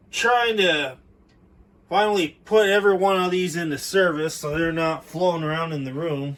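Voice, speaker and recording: Man, slightly high voice, casual vlog narration, chatty hobbyist walk-through, handheld camera indoors. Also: a bit bright. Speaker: hobbyist video maker. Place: indoors in a room at home.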